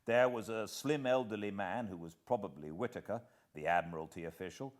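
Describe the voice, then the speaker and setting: A British man narrating a literary audiobook in a measured, expressive way with dramatic pauses. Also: lowish voice. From Britain.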